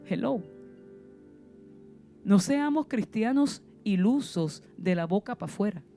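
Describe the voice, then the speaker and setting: Puerto Rican woman preaching, mellow voice. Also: mid-range voice, animated, slow, faint music behind. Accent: Puerto Rican Spanish. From Puerto Rico. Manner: passionate preaching with rhetorical questions and sudden emphasis. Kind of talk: sermon.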